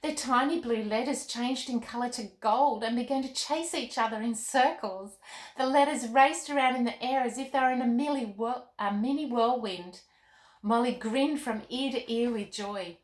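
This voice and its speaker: Expressive woman storyteller in an echoey room. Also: on the high side, normal speed. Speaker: children's author and storytime host. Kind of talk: expressive storybook narration for children.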